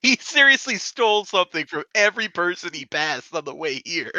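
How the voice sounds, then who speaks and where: A man's voice: sits high, sing-song, fairly quick, sharp tone, no room sound. A man talking casually as a player in a tabletop game.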